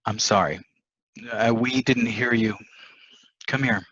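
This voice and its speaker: An actor playing a scheming, defensive husband in a dramatic stage play reading, dismissive and insistent, on a muffled microphone. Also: male voice, fairly low pitch, slow, a bit bright, husky, dry recording, exaggerated voice. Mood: falsely soothing. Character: scheming, defensive husband poisoning his wife.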